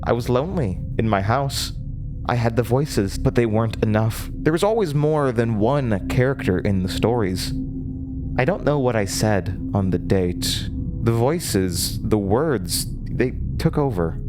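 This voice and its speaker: Man voicing an unhinged, slow-talking, lonely obsessive woman. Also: mid-range voice, lively intonation, over music, lightly acted. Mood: wistful, giddy. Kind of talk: horror audio drama monologue.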